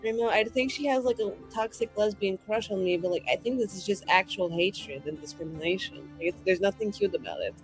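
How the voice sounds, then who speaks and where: A woman's voice: mid-range voice, brisk, hardly any pauses, soft background music, voice pushed down, close to an everyday voice, rough recording. A woman playing a cheeky, defiant adventurer in a tabletop game, talking in a casual, rambling, blunt way. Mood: annoyed.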